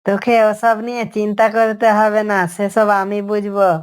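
A woman's voice: mid-range voice, flat delivery, normal speed, clear, resonant tone, close to an everyday voice. A woman voicing a cocky, scheming fox in a cartoon story. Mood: eager. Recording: music under the voice. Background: background music.

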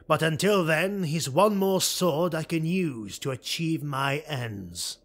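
A man voicing a cold, calculating dark elf nobleman in an audiobook, measured and commanding. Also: a little high, animated, voice pushed up, full character voice. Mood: scheming.

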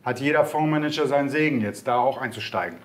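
A man's voice: medium pitch, fast talker. A man co-hosting a casual market chat, enthusiastic and opinionated, often ending remarks with a questioning tag. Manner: enthusiastic, bold opinions, frequent "ne?" tags. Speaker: co-host of a broker's market show.

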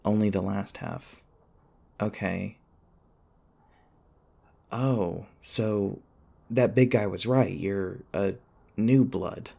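Gravelly, flustered young college guy, acted by a man. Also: lowish voice, steady tone, mellow, full character voice. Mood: curious, wry. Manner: flustered, halting, wry chatter. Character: anxious, rambling, sarcastic young male college student, human. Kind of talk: audio roleplay acting, one-sided dialogue.

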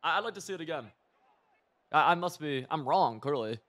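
A hoarse young man giving rambling, excitable live commentary over a football video game, mixing coaching tips with side stories. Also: a little high, brisk. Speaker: gaming video creator.

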